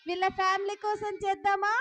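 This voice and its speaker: Very high-voiced woman presenting to children. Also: flat delivery, fast talker. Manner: prompting, agreeing, addressing children. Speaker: puppet show presenter. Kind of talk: playful live dialogue with puppets for children.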